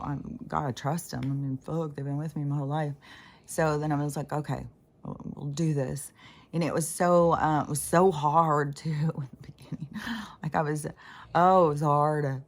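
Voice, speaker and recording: A woman with a notably low, scratchy voice talks through her spiritual experiences in a loose, unscripted monologue. She drifts off mid-thought, circles back, and swears without a second thought, like a host speaking candidly to her channel. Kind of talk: rambling casual monologue.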